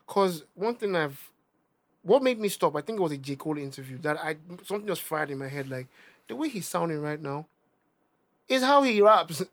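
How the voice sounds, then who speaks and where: A young Nigerian man with a Nigerian accent, a rapper talking casually in an interview, animated and emphatic, often repeating himself. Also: a little high, normal speed. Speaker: rapper guest.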